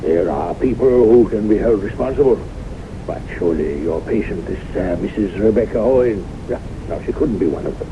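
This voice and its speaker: A man's voice: lively intonation, moderate pace, natural voice. An actor playing a genteel, skeptical male anthropologist talking to an old friend in a 1970s radio drama. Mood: reasoning, doubtful. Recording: noisy, rumbling, muffled 1970s radio recording.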